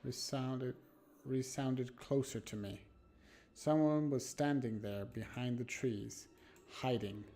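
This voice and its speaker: A man live-streaming a game, reading horror narration aloud dramatically and haltingly, stumbling and correcting himself. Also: medium pitch, mellow. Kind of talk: live read-aloud of a horror visual novel with asides. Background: background music.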